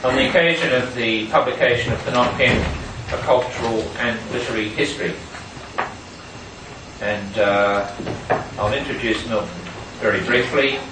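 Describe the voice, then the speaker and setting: A man introducing a guest speaker at a forum in a relaxed, chatty way. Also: mid-range voice, normal speed. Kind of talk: informal introduction. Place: lecture room at a forum. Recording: very echoey hall, distant mic, noise.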